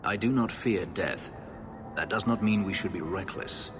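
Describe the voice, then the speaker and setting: Brief: a man playing a brooding elven ex-slave warrior, very low and grave, formal and clipped, with game music underneath. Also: moderate pace, close to an everyday voice. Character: brooding male elf warrior, escaped slave. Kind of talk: video game dialogue. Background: game score.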